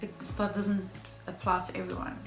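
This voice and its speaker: A woman with a nasal, congested voice chatting casually on a couple's vlog, measured and careful to qualify what she says. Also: mid-range voice, monotone. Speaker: couple vlogger. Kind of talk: casual chat. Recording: echoey room with background music.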